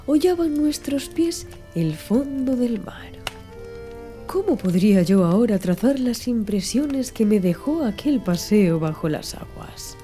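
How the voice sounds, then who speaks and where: A woman reading a literary audiobook in a measured, expressive storytelling manner. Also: on the high side. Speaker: audiobook narrator. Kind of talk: scripted literary audiobook narration. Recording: music under the voice. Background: music.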